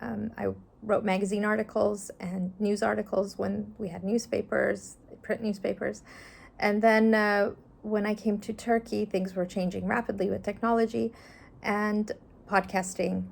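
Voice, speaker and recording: A woman's voice: medium pitch, little pitch movement, unhurried, vocal fry. A middle-aged woman from Afghanistan, a journalist, talking earnestly and thoughtfully in an interview, with some fillers. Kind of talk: reflective interview answers.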